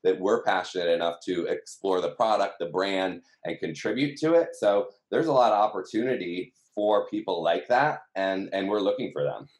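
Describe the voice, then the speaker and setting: A man with a low voice speaks in a conversational interview, recorded with clear room echo. He explains things earnestly, as a member of a company team. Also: moderate pace, hardly any pauses. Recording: echoey room sound.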